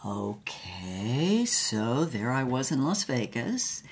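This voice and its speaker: A woman's voice: rumbling low, big pitch swings, slow, a little hiss or hum, full character voice. An actress playing an old former film noir star, telling her story in a wry, blunt, slangy way. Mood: wry reminiscing. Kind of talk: conversational storytelling in an audio drama.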